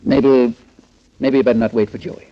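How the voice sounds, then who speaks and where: A man's voice: mid-range voice, steady tone, moderate pace, lightly acted. An actor playing an anxious, fatherly older boxing trainer in a 1940s radio drama, earnest and pleading. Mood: uneasy. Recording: muffled old 1940s radio recording.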